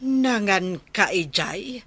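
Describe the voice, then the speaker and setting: A woman's voice: medium pitch, animated, slow, husky, no room sound, exaggerated voice. A woman playing an exasperated, nagging member of a household in an Ilocano audio drama. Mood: scolding, exasperated. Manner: nagging, sharp-tongued.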